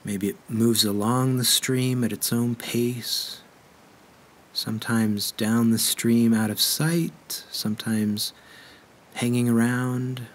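A man leading a guided meditation in a gentle, unhurried way with measured pauses. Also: medium pitch, steady tone.

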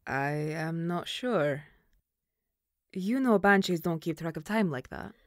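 A woman playing a stern, duty-bound banshee mother in an audio roleplay, speaking formally and gravely. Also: fairly low pitch, expressive, takes it slowly, no echo, clean studio sound. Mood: composed.

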